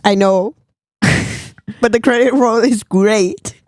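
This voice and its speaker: Giggly high-voiced woman, playfully protesting. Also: takes it slowly, darkish tone, no echo, studio quality. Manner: laughs often, protests playfully. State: losing her voice. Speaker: co-host. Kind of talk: casual chat.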